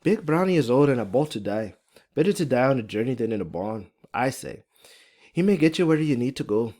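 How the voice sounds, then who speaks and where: Man voicing a hearty Irish farm wife, pitched up. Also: very animated, a little fast, dark tone, close to an everyday voice. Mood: brisk, advising. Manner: folksy, hearty dialect speech. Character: kindly, brisk Irish farm wife, warm and wry.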